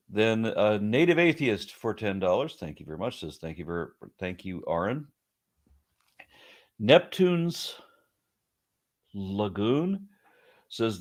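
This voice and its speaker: Man with a low, lower-register voice, middle-aged, livestream host, reading viewer messages aloud with brief remarks in between, casual and unhurried. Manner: reads messages aloud, then comments briefly.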